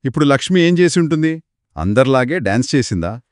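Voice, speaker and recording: A man's voice: medium pitch, fast, no echo, clean studio sound. A man co-narrating a folktale for children in a conversational style, putting questions to his listeners. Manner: animated, poses questions to listeners. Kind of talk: conversational two-person storytelling for children.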